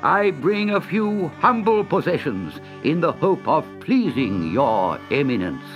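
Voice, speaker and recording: Man as a storybook merchant captain, higher pitch, hearty, formal, commanding, music behind. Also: sing-song, measured pace, low-quality recording. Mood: flattering. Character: commanding, flattering male sea captain and merchant. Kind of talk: storybook character voice.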